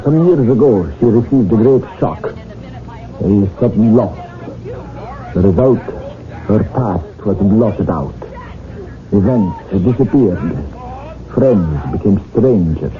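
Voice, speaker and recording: An actor playing an urbane, evasive professor in a 1970s radio drama, speaking formally with deliberate pauses and a patronizing polish. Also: male voice, fairly low pitch, lively intonation, faint music behind, some chatter behind. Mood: guarded, firm. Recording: tinny, phone-like old radio broadcast.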